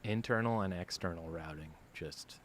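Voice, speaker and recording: A man's voice: sits low, moderate pace, fairly bright tone, slight background noise. A man, a musician co-hosting a live stream, chatting in an easygoing way and laughing along. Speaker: musician co-host. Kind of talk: casual live-stream chat.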